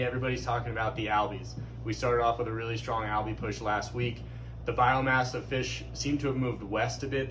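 A man talks through a fishing report from inside a tackle shop. His voice sits low and stays fairly flat, practical and unhurried. A faint hum of room noise sits underneath. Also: rough recording. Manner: steady, practical, product-focused.

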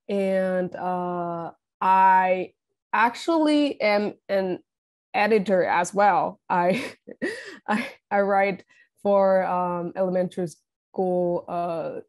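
Young woman musician, halting and thoughtful. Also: on the low side, a little mellow. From Taiwan. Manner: thoughtful, halting, with many restarts and fillers. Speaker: guest musician. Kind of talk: casual interview. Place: home room, video call.

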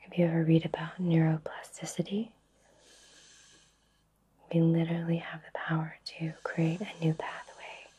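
A woman whispering breathily in a soothing, reassuring way with long pauses, guiding an ASMR Reiki session. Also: sits low, completely flat delivery, dark tone, breathy edge, low-quality recording. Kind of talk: whispered ASMR personal attention.

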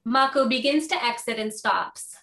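Middle-aged woman to read stage directions: bright, crisp-edged higher voice, scripted delivery, a little off the microphone. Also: normal speed, recorded from a distance. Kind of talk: scripted narration. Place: home office, video call.

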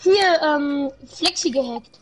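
A woman's voice: high voice, slow, soft background music. A woman playing a game with friends, teasing and joking cheekily. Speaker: co-player friend. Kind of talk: casual gaming banter.

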